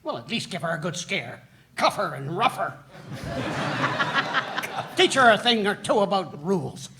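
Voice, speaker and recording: An actor playing a cranky older man who loves complaining, crabby and scolding, in an animated comedy read. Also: sits high, a little slow, noisy background, full character voice. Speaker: voice actor at a live table read. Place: stage with live audience. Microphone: stand microphone.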